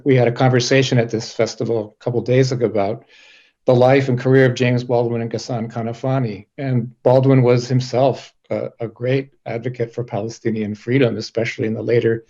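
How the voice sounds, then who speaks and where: A man moderating a panel, putting measured questions in careful, earnest phrasing. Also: medium pitch, warm tone, muffled recording.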